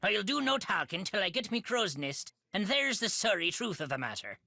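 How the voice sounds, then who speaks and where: Grumbling Irish pub regular, forceful edge, swooping intonation, gruff, video game. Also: male voice, sits high, big pitch swings, normal speed, no echo, heavily acted. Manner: gruff, theatrical, complaining. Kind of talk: video game character dialogue.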